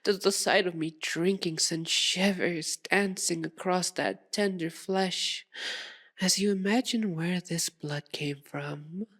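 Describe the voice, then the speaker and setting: A sadistic, possessive vampire lady voiced by a woman purrs to the listener in an ASMR roleplay. Her voice sits a little low and she draws her words out very slowly. She is formal, theatrical and seductively teasing. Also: a bit bright, heavily acted. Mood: mock-hurt, menacing. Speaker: voice actor in audio roleplay.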